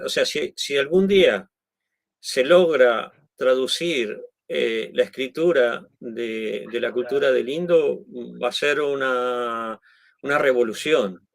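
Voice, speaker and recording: A man's voice: mid-range voice, takes it slowly, vocal fry, no echo. A middle-aged man from Argentina with an Argentine Spanish accent, explaining things in conversation with many restarts and tag questions. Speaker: guest.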